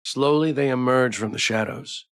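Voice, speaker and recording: A man's voice: mid-range voice, moderate pace, a bit bright, smooth. A man hosting a live game stream, reading story text aloud and chatting playfully with viewers. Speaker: livestreamer, game playthrough host. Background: background music.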